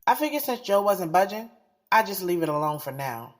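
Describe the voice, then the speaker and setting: A woman's voice: fairly low pitch, very animated, fairly quick, background noise. An actress playing a guarded, skeptical young woman poet in an audio drama, speaking in a candid, conversational, street-smart way. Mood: reflective, candid. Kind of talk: audio drama dialogue and first-person narration. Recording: music playing behind the voice.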